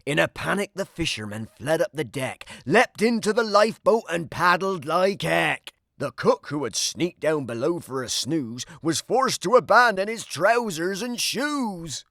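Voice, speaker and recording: A man reading a rhyming children's story aloud in an animated, dramatic way. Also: fairly high pitch, unhurried, vocal fry, few pauses, no echo, studio quality. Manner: theatrical, dramatic delivery. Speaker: storybook narrator.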